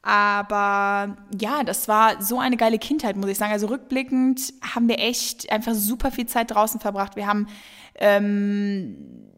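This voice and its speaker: A woman's voice: mid-range voice, lively intonation, a little slow, fairly bright tone. Chatty young woman in a reverberant room. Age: 20s. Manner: chatty and rambling, with many fillers. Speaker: podcast host. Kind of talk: casual solo podcast Q&A. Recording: long reverb.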